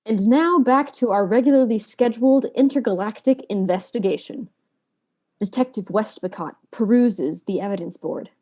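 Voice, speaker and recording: An actress playing the narrator of a space mystery serial, with deliberate, dramatic announcing. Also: female voice, on the high side, dark tone, close to an everyday voice. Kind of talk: scripted narration.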